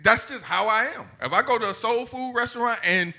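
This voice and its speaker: A man's voice: extremely high pitch, fairly quick, bright, forceful tone. A man co-hosting a podcast, ranting and roasting in casual, profane, opinionated banter. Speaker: podcast co-host.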